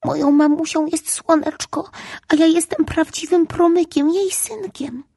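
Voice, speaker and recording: A woman voicing a small, tearful boy who is a lost sunbeam of the sun, speaking in a high, pleading way. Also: normal speed, warm tone, dry recording, clean studio sound.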